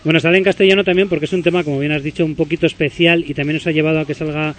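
A man radio host with a fairly high voice fires off long, winding questions at a quick clip. He rolls one thought into the next without much pause. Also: steady tone. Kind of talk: radio interview.

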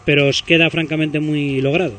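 A man's voice: on the high side, little pitch movement, brisk, a bit bright. A male radio interviewer asking long, chatty questions and praising the band's music.

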